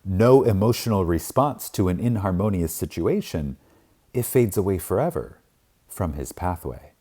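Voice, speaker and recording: A man narrating a spiritual audiobook in a reverent, emphatic manner with deliberate dramatic pauses. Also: low-pitched, dark tone, husky.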